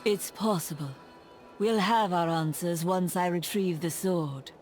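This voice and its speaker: A woman's voice: fairly low pitch, measured pace, over music, natural voice. Woman playing a cool, stern necromancer. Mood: resolute. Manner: cool, terse, formal. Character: stern, cold, resolute necromancer hero. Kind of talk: video game voice acting.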